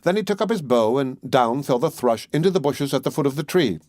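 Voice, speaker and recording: Guy narrating a fairy tale audiobook, medium pitch, very dry recording. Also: animated, a little fast, darkish tone, dry recording.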